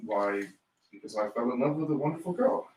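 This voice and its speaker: A man's voice: medium pitch, animated, brisk, darkish tone, natural voice. A man playing a cheerful, earnest nephew pleading with his uncle in a theatrical play reading. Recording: roomy, distant microphone.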